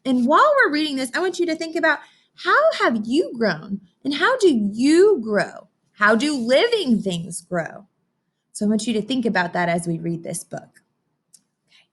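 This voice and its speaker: Lively, slightly husky woman teaching young children. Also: sits high, normal speed. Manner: encouraging, instructional, with frequent questions to children. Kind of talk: interactive read-aloud phonics lesson.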